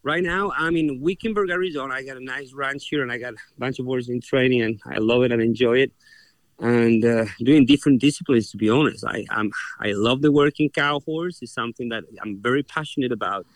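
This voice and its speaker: A man's voice: mid-range voice, a little fast, no echo. A young adult man from Chile with a Chilean Spanish accent, a horse trainer answering interview questions earnestly and often restarting his words. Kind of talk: interview guest.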